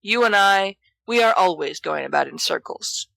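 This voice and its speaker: A woman's voice: mid-range voice, lively intonation, moderate pace, creaky, a bit bright, no room sound, low-quality recording. A woman voicing an awkward, lovestruck teenage boy with a fumbling, mumbling manner in an audiobook story. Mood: hesitant.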